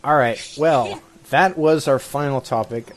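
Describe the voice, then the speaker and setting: A man's voice: medium pitch, unhurried, a little hiss or hum. A man co-hosting a podcast in casual, opinionated chat, speaking in a rambling, hesitant way full of filler "like"s. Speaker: podcast co-host.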